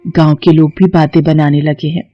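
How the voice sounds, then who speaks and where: A woman's voice: sits low, little pitch movement, normal speed, highs cut off, faint music behind. A woman voicing an older village mother, concerned and kindly, in a dramatised horror story.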